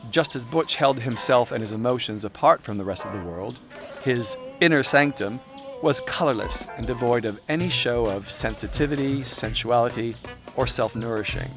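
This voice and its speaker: Male narrator for self-help audiobook, medium pitch, wry and irreverent storytelling delivery, music underneath. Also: fairly bright tone. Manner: wry, irreverent, conversational asides. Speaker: author reading own self-help audiobook. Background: music soundscape.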